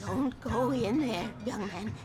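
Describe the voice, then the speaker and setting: Eerie, high-voiced woman, ominous. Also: slow, over loud music. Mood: ominous warning. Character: eerie woman warning a stranger about darkness.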